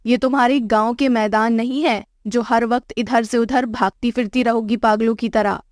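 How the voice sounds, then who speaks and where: A woman acts out a middle-aged mother-in-law of a rich house, haughty and scolding. Her voice sits fairly high and is closely recorded with no room sound. She nags and insults in an exasperated cartoon-story manner. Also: fairly even intonation, moderate pace, darkish tone, no echo, studio quality, close to an everyday voice. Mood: disgusted scolding. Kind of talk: Hindi moral-story cartoon dialogue.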